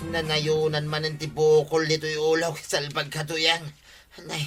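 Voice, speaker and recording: A man's voice: fairly high pitch, monotone, normal speed, music in the background, close to an everyday voice. A man with an Ilocano accent playing an aggrieved, stubborn village husband in a radio comedy drama. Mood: indignant.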